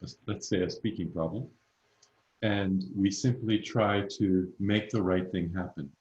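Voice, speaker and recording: A man's voice: low voice, fairly even intonation, unhurried, darkish tone, lo-fi sound. A man working as a voice coach, speaking in a firm, matter-of-fact way. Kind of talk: voice lesson conversation. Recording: roomy echo.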